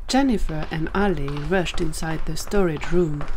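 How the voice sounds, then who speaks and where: Low-pitched woman narrating fiction. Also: normal speed, darkish tone, a little hiss or hum. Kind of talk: scripted story narration.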